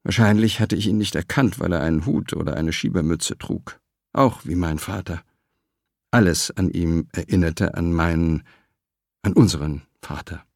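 Low gravelly man, measured audiobook narration, dry close studio sound. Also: a little mellow, dry recording. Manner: measured, reflective. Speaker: audiobook reader. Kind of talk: scripted narration.

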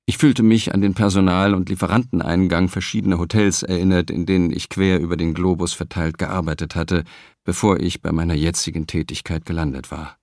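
A man reading a first-person novel aloud, measured and reflective, close on the microphone. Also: low-pitched, a little mellow, no echo. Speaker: audiobook reader. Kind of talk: scripted narration.

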